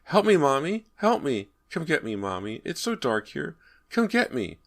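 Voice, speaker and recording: A man voicing a frightened little boy trapped in a wall, with high, pleading cries. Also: sing-song, moderate pace, creaky.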